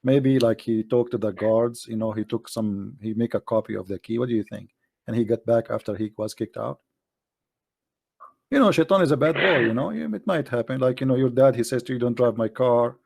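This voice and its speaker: Animated man, Arabic native speaker. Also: medium pitch, a little fast, gravelly. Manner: animated, teasing, rising emphasis on points. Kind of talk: casual religious debate.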